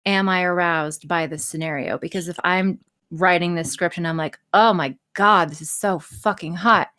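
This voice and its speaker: A woman's voice: fairly low pitch, normal speed, bright, forceful tone. A woman chatting casually as a podcast guest, candid, enthusiastic and free with swearing. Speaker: podcast guest, audio script writer and performer.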